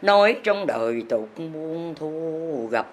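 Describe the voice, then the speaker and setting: A woman chants her teaching in long, sing-song verse lines. She speaks very slowly in a fairly low voice and presses her listeners to change their ways. Faint noise sits under the recording. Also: lively intonation. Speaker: religious teacher.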